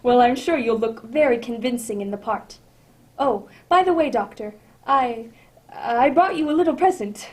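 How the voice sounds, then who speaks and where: Woman as high-voiced, affable radio announcer. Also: lively intonation, normal speed, natural voice. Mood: friendly, eager. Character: Mister Bartell, affable radio announcer and eager guest. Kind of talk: old-time radio announcing.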